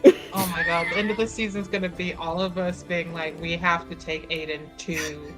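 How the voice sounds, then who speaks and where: A woman's voice: lowish voice, flat delivery, moderate pace, poor audio. A woman bantering casually about a tabletop game, with a playful, wry way of talking. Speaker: tabletop roleplaying game player. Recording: music playing behind the talk.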